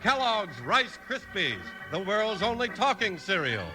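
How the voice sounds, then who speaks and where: A man's voice: sits high, big pitch swings, a little slow, over music. An American man announcing a 1950s radio show with its credits and sponsor read. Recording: old 1950s radio transcription.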